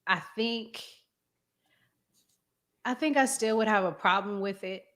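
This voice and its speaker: A woman hosting a casual discussion, talking in a measured, deliberate way with pauses. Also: mid-range voice, a bit bright. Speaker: host.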